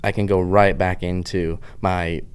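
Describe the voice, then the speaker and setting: A man's voice: low voice, flat delivery, unhurried, a little hiss or hum. A man in his thirties with a Pittsburgh accent touched by the Southern US, talking in a chatty, tangent-hopping vlog monologue full of "like". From Pittsburgh, USA. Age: 30s. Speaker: vlogger host. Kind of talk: casual rambling monologue.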